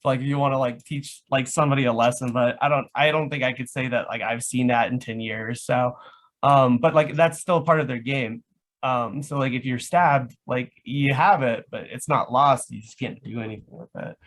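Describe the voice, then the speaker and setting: A man's voice: mid-range voice, steady tone, moderate pace, fairly bright tone. A man explaining things in casual chat as a guest, rambling and leaning heavily on the word "like". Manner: rambling, says "like" constantly. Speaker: guest, live action roleplay organiser.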